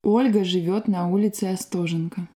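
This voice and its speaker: A woman reading scripted sentences and exercise prompts for a language course in a clear, measured way. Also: fairly low pitch, steady tone, mellow. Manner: clear, measured, textbook delivery.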